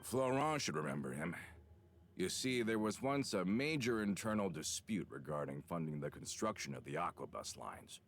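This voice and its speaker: Very deep-voiced loyal veteran henchman. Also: sing-song, normal speed, heavily acted. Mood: grave explaining. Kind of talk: video game voice acting.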